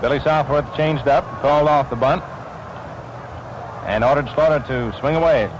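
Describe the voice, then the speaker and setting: An American man calling baseball play-by-play in 1940s radio style, describing the action in crisp phrases. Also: a little high, normal speed, dull audio. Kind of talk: 1940s radio sports commentary. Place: ballpark broadcast position. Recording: noisy vintage 1940s radio broadcast.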